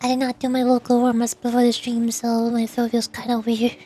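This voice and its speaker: A woman's voice: fairly high pitch, monotone, fairly quick, warm tone, faint music behind. A woman streamer giving casual, chatty commentary as she plays a game.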